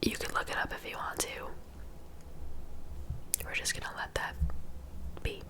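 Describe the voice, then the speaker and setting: Softly whispering woman telling true-crime ASMR. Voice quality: soft breathy whisper. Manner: unhurried, informal and conversational.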